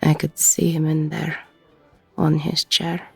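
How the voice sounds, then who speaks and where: A woman playing a weary, gruff, middle-aged ex-con bartender, husky and breathy, blunt and self-deprecating, in an intimate audio roleplay. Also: sits low, monotone, slow pace, soft background music, exaggerated voice. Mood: regretful, subdued.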